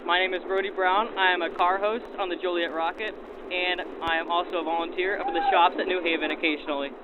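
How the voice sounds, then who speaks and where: Young-sounding not required: male volunteer, casual answers, slightly high voice, narrow radio band, clear vehicle noise. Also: steady tone, quick pace. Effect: 1940s radio filter. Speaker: car host and volunteer. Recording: noisy phone-like sound aboard a train.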